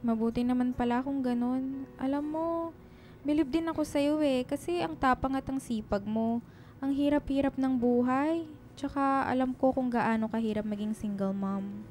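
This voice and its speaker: A woman's voice: sits high, moderate pace, darkish tone, soft background music, natural voice. A woman playing a kind, protective lady employer in a radio drama, chatty and concerned. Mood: friendly. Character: kind, protective middle-class employer, a single mother. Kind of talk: Filipino radio drama acting.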